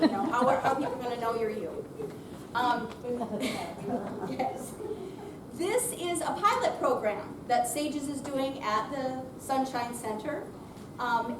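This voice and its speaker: A woman presenter speaking loudly and chattily off the cuff to a live audience, introducing a theater program. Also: high-pitched. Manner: loud, projecting, chatty. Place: senior center room, unamplified, before an audience. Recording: distant mic, echoey room, noisy.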